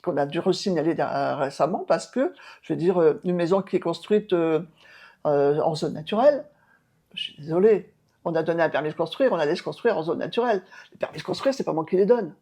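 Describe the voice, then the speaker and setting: Emphatic older woman, dark voice. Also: on the low side, animated, brisk, husky. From France. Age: 70s. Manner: emphatic, hesitant, many fillers and restarts. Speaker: outgoing mayor and candidate. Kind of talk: local TV interview.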